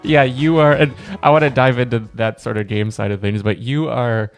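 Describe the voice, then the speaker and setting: A man hosting a casual podcast interview, friendly and quick to acknowledge his guest. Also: medium pitch, fairly even intonation, faint music behind. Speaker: podcast host.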